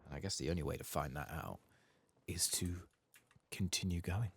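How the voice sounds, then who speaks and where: A man softly narrating a video game playthrough in ASMR style, with dry, sweary asides. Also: low voice, moderate pace, a little hiss or hum. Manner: dry, sweary asides, reads quest text aloud. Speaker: ASMR gaming streamer.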